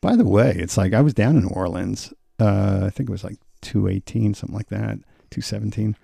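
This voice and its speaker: Gravelly, husky man joking around. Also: on the low side, brisk, dark tone, no room sound, studio quality. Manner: joking, teasing, laughs often. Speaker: podcast co-host. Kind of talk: casual chat, quiz questions.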